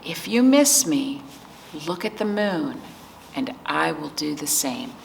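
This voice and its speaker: A loving old grandmother from a storybook, read by a woman, offers tender reassurance. The voice is slow and a little creaky, with faint noise behind it. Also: low-pitched, big pitch swings, voice pushed down, exaggerated voice, rough recording. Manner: tender, unhurried promises.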